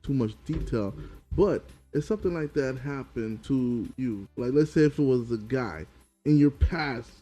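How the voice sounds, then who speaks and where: A man's voice: mid-range voice, unhurried, mellow, soft background music. A man co-hosting a relationship podcast in casual chat, pressing his point and repeating it. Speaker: podcast co-host.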